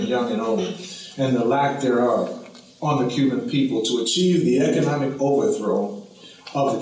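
A Cuban-born man giving earnest, measured formal testimony at a hearing. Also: mid-range voice, far from the mic. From Cuba. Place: large hearing room. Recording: roomy hall audio from off mic.

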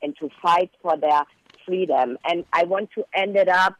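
A high-pitched man whose first language is Persian delivers a prepared statement with earnest, measured phrasing. He leans on key words for emphasis. The sound is dry and close, with no room around it. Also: a bit bright, no room sound, low-quality recording. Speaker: guest, relative of a political prisoner.

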